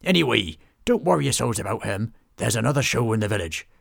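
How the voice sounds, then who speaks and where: A man's voice: mid-range voice, big pitch swings, fast, vocal fry, fairly bright tone, dry recording, studio quality, full character voice. A man voicing a chatty male village barman in a storybook reading, with a hearty, folksy manner.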